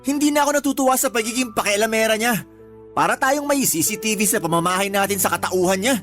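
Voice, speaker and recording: Radio drama role: argumentative, sarcastic husband, easily riled, music underneath. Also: male voice, sits high, quick pace, fairly bright tone. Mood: indignant, sarcastic. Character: hot-tempered, aggrieved live-in husband ranting about his mother-in-law. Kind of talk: Tagalog radio drama acting.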